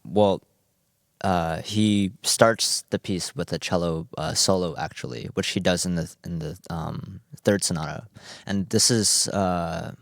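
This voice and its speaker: A man's voice: deep voice, creaky, no room sound, studio quality. A man in his twenties from New Jersey, a cellist and music student, speaking earnestly in a radio interview with many uhs and ums. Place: radio performance studio.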